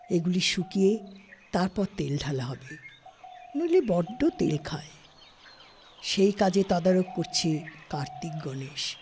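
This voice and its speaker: A woman's voice: medium pitch, a little mellow. A woman narrating a scripted literary story as an audiobook-style narrator. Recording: studio, faint background noise.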